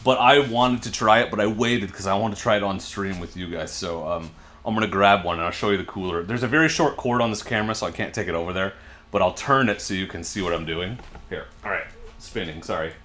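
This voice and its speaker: A man's voice: lowish voice, fast talker, fairly bright tone. Chatty man in his forties hosting a stream. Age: 40s. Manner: chatty, reads and answers viewer comments aloud. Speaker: livestream host. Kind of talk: casual livestream chat. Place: home garage. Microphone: desk microphone.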